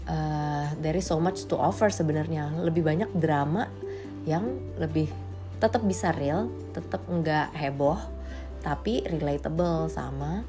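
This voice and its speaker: Woman in her late 20s, low pitch, candid and blunt, casual slangy monologue, English phrases mixed in, music behind. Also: measured pace. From Indonesia.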